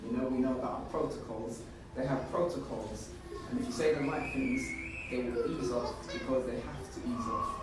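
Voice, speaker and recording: A man's voice: mid-range voice, fairly even intonation, moderate pace, hardly any pauses. A man facilitating a community discussion in an emphatic way, repeating phrases to drive his point home. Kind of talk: group discussion, reflective reasoning. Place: indoors at a community centre, distant mic. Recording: echoey room from far off, noisy.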